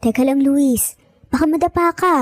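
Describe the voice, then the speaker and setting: Woman voicing very high-pitched earnest little boy. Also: normal speed, dry recording, natural voice. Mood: worried, urging. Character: young triplet boys, eager and earnest little brothers. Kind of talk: dramatized story dialogue.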